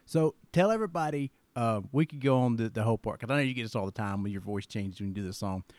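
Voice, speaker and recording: A man's voice: mid-range voice, quick pace, darkish tone, no room sound. A man in his forties hosting a casual interview, telling jokey stories in a chatty way. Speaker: podcast host.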